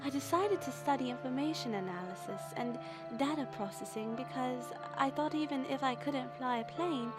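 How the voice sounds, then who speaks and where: A woman's voice: high voice, moderate pace, few pauses, over loud music, natural voice. An actress playing a young, chatty, earnest female intelligence analyst on a jungle mission in a radio drama. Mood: candid, wistful. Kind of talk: dubbed video game radio drama acting.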